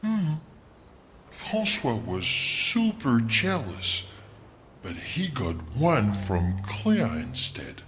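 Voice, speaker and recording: An actor voicing a big, friendly, childlike creature in a video game, sweet, simple and dreamy. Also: male voice, mid-range voice, wildly swooping pitch, very slow, fairly bright tone, slight background noise, exaggerated voice. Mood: innocent, friendly. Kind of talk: video game character acting.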